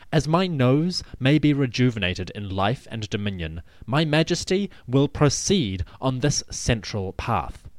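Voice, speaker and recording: A man's voice: mid-range voice, no room sound, natural voice. A man voicing a proud, resolute pharaoh in a dramatic reading, regal and declamatory. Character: proud, resolute pharaoh addressing his generals. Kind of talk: dramatic reading of a royal proclamation.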